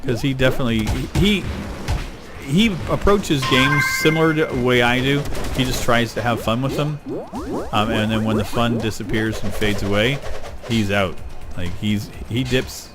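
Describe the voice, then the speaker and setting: A middle-aged man rambling casually while playing a video game, getting excited over loot. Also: mid-range voice, moderate pace. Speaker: gaming YouTuber. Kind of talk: casual let's-play commentary. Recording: game music behind voice. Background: game music.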